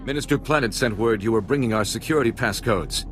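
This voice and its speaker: An actor playing a formal, earnest and worried ambassador in a video game. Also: male voice, medium pitch, fast, lightly acted. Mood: courteous. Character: troubled, formal male ambassador. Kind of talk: video game character dialogue.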